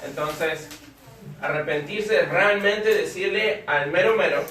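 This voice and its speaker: A man from Ecuador with an Ecuadorian Spanish accent, teaching a church discipleship class in short phrases and pausing for a live interpreter. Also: sits high, a bit bright. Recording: echoey room, voice from a distance.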